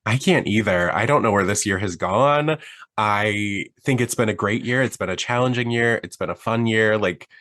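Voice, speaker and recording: A man's voice: lowish voice, normal speed, fairly bright tone, dry recording, clean studio sound. A man in his thirties co-hosting a book podcast, easygoing, chatty and joking. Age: 30s. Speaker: podcast co-host. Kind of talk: casual book chat.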